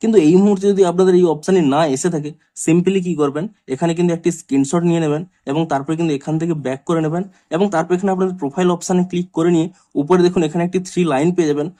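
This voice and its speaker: A man presents a tech how-to in a somewhat high voice. He talks at a racing pace, linking one instruction to the next in long, repetitive sentences. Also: steady tone, very fast, dark tone. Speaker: tech tutorial YouTuber. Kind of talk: step-by-step screen tutorial narration.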